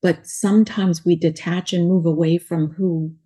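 A woman with a New York accent talks about her coaching work. Her voice sits low and a little husky, with a soft, mellow tone. She wanders through her thoughts earnestly, often restarting mid-sentence. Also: fairly even intonation, normal speed. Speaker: guest, life coach. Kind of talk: casual interview talk.

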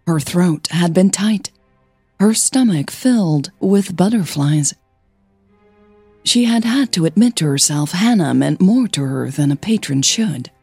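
A woman podcast host reading scripted fiction as narrator, in a measured storytelling manner. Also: on the low side, animated, warm tone, slightly rough, no echo, soft background music.

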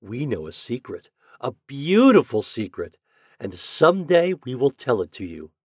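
A man's voice: mid-range voice, sing-song, normal speed, slightly rough, no room sound, clean studio sound, voice pushed up. A man voicing merry little sunbeams and breezes in a storybook, kindly and playful with a teasing lilt. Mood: kindly reassuring.

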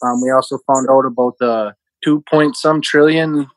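A man's voice: medium pitch, fairly even intonation, normal speed, no echo, poor audio. A man in his thirties from Minnesota, giving casual, conversational answers as an interview guest. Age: 30s. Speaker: interview guest, casino team supervisor.

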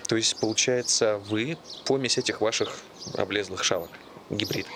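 A sardonic young man with a gravelly, fairly low voice, played by an actor. He answers in short, deadpan lines as in a stage drama. There is clear noise behind him. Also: normal speed, lightly acted. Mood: sardonic, irritated. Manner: laconic, deadpan, dry. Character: curt, sardonic young male smoker. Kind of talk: stage play dialogue. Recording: noisy stage recording with ambient sound.

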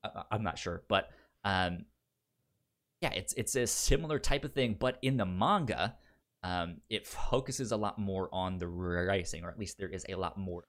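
Low-pitched man with a stutter, bright voice. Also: normal speed. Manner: stutters, repeating syllables and words. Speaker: podcast host giving recommendations. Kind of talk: casual film chat.

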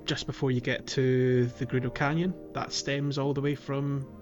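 A man's voice: mid-range voice, level tone, normal speed, highs cut off, soft background music. A man co-hosting a casual gaming podcast, talking in a loose, rambling way with lots of fillers and restarts. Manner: rambling, hesitant with many fillers. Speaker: podcast co-host.

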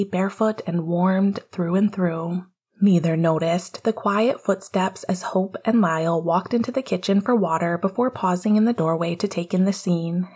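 A woman with a soft, breathy voice narrating written fiction as an audiobook-style reader. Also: medium pitch. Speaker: podfic reader.

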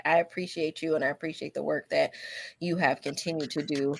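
A woman's voice: low-pitched, fairly even intonation, brisk, gravelly, rough recording. A woman hosting a radio talk show, appreciative toward her guest, often stumbling and repeating words.

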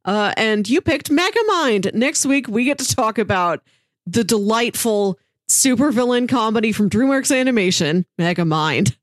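Lively woman co-host, dry sound. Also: on the high side, moderate pace, no echo. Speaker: podcast co-host. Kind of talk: casual chat.

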